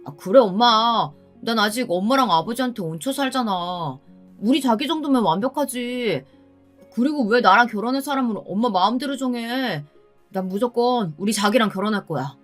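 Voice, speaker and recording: A woman's voice: a little high, lively intonation, moderate pace, faint music behind, voice pushed up, natural voice. A woman voicing an adult son who protests and defends his girlfriend, in a dramatized story narration. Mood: defiant. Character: adult son, defensive boyfriend, whiny but devoted.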